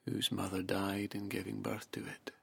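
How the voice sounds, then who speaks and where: A man guiding a hypnosis session, speaking softly and slowly in a half-whispered, soothing delivery. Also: on the low side, monotone, vocal fry, breathy edge, dry recording. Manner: soft, slow, half-whispered. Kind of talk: guided hypnosis narration.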